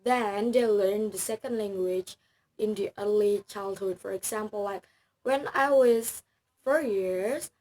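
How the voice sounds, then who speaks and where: A woman's voice: medium pitch, measured pace, a little mellow, rough recording. A young woman from Bali, Balinese native speaker with an Indonesian accent, giving a class presentation in careful, hesitant speech with many fillers and self-corrections. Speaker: student presenter.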